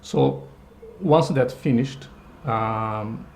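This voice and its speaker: A man in his sixties, telling a personal family story with hesitant pauses and fillers. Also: mid-range voice, creaky, a little mellow, a little hiss or hum. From Brazil. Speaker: interviewee.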